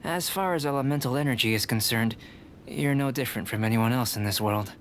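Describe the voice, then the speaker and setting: A man voicing a calm scholarly alchemist. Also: medium pitch, a little hiss or hum, natural voice. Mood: composed. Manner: measured, lecturing, coolly confident. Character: calm young male alchemist scholar, composed and aloof. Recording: studio game voice with music behind. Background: game music.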